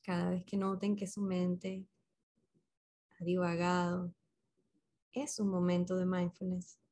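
A woman leading a guided meditation as a facilitator, speaking gently and unhurriedly with pauses between short instructions. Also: on the low side, completely flat delivery.

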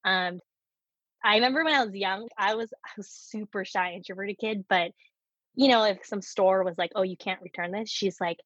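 A woman's voice: mid-range voice, normal speed, a bit bright, slightly rough, no echo, lo-fi sound. A woman in her thirties whose first language is Korean, talking candidly about her life in an interview and laughing often as she tells stories. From United States. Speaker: startup co-founder guest. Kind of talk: casual interview conversation.

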